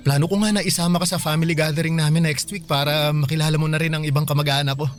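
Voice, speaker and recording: Eager young Filipino boyfriend played by a man for radio romance: coaxing, affectionate, fairly high voice, faint music underneath. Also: little pitch movement, racing, lightly acted. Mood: coaxing, earnest. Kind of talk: dialogue in a radio-style romance drama.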